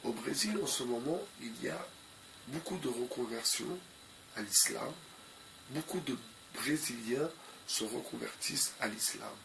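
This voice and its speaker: A man's voice: mid-range voice, unhurried, creaky, noisy background. A man with a cold giving unscripted commentary to his viewers, hesitant and full of fillers, often repeating the same phrases. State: has a cold. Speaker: video commentator.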